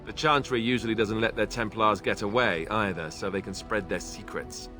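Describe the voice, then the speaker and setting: Man playing a low-voiced, sardonic knight. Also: fairly even intonation, normal speed, lightly acted. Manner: dry, sarcastic asides. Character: wry young male ex-Templar warrior, sardonic, self-deprecating. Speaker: former Templar. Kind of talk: video game character dialogue. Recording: prominent music behind the voice.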